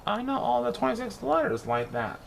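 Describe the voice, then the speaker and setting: Male storybook reader, playful and theatrical, very lively pitch, faint noise in the recording. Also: brisk, vocal fry, rough recording. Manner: theatrical, playful rhyming delivery with exaggerated emphasis. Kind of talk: expressive children's picture-book read-aloud.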